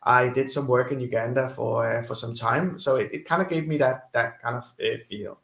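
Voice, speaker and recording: A man's voice: mid-range voice, moderate pace, gravelly, a bit bright, reverberant. A man from Kenya with an accent shaped by Denmark, speaking earnestly as an interview guest with many fillers and restarts. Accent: Danish-influenced. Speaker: guest, company CEO. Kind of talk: interview over video call.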